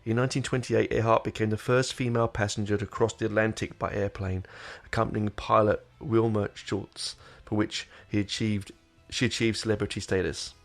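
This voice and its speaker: A man's voice: fairly low pitch, little pitch movement, fairly quick, creaky, hardly any pauses, soft background music. A man hosting his podcast in casual, rambling talk, full of fillers and restarts, sounding nasal while getting over an illness. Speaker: podcast host. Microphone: desk microphone.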